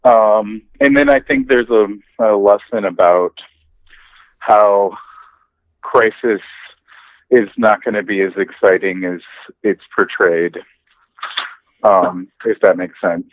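A man speaking casually and haltingly as a podcast guest over a tinny remote call. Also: fairly low pitch. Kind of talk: casual conversation. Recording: tinny, phone-like line.

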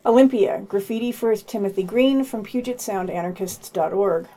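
A woman's voice: medium pitch, moderate pace, dark tone. A woman hosting a podcast, reading a scripted news digest and dropping in casual asides.

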